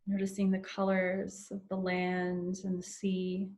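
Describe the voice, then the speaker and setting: A woman leading a guided meditation in a soothing, unhurried way with long pauses between phrases. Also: medium pitch, monotone, a little mellow.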